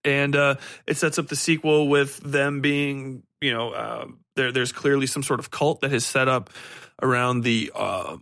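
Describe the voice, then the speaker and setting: A man's voice: mid-range voice, fairly even intonation, measured pace, creaky, fairly bright tone, no room sound, clean studio sound. A man hosting a solo podcast, giving casual review commentary in a rambling, think-aloud way with some casual swearing. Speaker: podcast host.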